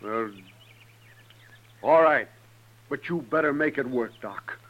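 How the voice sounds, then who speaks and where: A man's voice: medium pitch, takes it slowly, close to an everyday voice. A man playing a hot-tempered, blunt American cowboy in 1950s western radio drama. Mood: grudging. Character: gruff, hot-tempered cowboy devoted to his horse. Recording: dull vintage radio recording.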